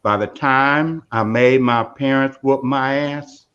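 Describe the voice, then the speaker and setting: A man's voice: mid-range voice, fairly even intonation, slow pace, a bit bright, lo-fi sound. A man in casual chat, speaking in a preachy and emphatic way.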